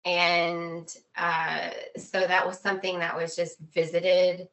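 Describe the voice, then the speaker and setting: A woman being interviewed, giving a casual personal account in halting, deliberate phrases. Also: fairly low pitch, level tone, a bit bright. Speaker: interview guest.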